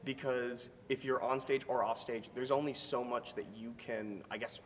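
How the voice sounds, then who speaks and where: A man's voice: medium pitch, fairly even intonation, moderate pace. A teenage male student actor giving casual interview answers. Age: teens. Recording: echoing hall, faint background noise.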